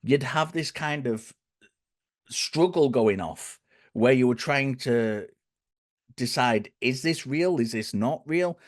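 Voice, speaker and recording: Hoarse man on a dry call. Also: mid-range voice, lively intonation, slow pace, dry recording. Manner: thoughtful, restarts phrases. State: hoarse, croaky. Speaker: guest speaker. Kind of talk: conversational explanation.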